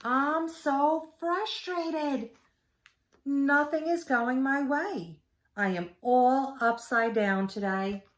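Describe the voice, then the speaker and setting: Woman as a dramatic, high-voiced storybook turtle. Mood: frustrated. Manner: drawn-out, emphatic words. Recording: echoey room.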